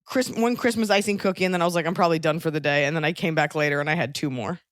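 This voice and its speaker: A woman with a slightly low voice chats casually as a podcast host. She is wry and makes fun of herself as she goes. The sound is close and dry. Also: racing, no room sound. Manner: wry, self-mocking. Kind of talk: casual comedic podcast chat.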